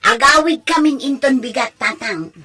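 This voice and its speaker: A woman's voice: high voice, moderate pace, a crowd in the background, lightly acted. A woman with a Filipino accent playing an outspoken, disapproving adult daughter in a comedy radio drama, insistent as she argues. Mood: coy, firm. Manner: insistent, protesting.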